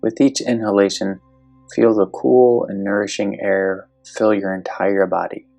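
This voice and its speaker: Low, creaky-voiced meditation guide. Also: little pitch movement, soft background music. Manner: slow, gentle, instructional.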